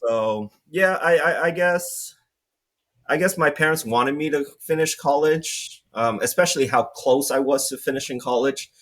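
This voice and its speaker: A man in his forties from Vietnam, a guest entrepreneur talking casually in an interview, relaxed and rambling with many fillers. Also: on the high side, normal speed.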